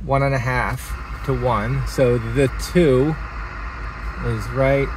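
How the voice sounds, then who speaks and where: A man's voice: mid-range voice, steady tone, slow. A man giving a casual, hands-on demonstration in a plainspoken, enthusiastic way, as a hobbyist vlogger. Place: inside a parked vehicle and outdoors in a driveway. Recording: clear background noise from car and outdoors.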